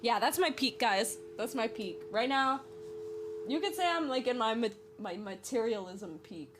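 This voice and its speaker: A woman's voice: on the high side, expressive, moderate pace, over music. Playful young woman rambling on a podcast. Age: 20s. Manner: rambling, playful, laughs often. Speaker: podcast host. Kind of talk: casual solo podcast monologue.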